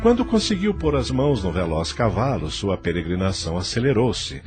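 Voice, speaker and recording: A Brazilian man narrates an audio novel in a low, deep voice. His intonation moves widely and theatrically through the literary text. Music plays faintly behind him. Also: big pitch swings, fairly quick. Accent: Brazilian Portuguese. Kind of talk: dramatized scripted narration of a novel.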